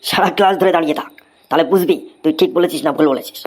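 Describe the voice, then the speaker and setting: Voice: mid-range voice, fairly even intonation, rapid-fire, close to an everyday voice. A performer voicing an exasperated male schoolteacher in a Bengali comedy cartoon, with a theatrical, scolding manner.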